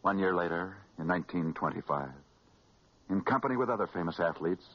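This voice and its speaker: A man's voice: sits low, animated, very noisy. Dramatic 1940s American radio storyteller, swooping male voice. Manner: dramatic, with emphatic repetitions and drawn-out pauses. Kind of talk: 1940s radio sports storytelling, scripted narration. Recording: muffled vintage 1940s radio recording. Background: music.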